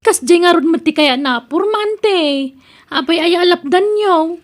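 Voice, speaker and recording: High-pitched cheeky Ilocano niece, woman-acted. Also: unhurried. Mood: mocking. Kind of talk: comedy drama voice acting.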